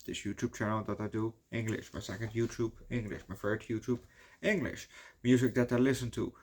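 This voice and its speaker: A man's voice: on the low side, steady tone, fairly quick, a little mellow, slight background noise. Rambling Dutch-accented man reviewing a movie. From Netherlands. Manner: rambling, swears often, peppers talk with "you know".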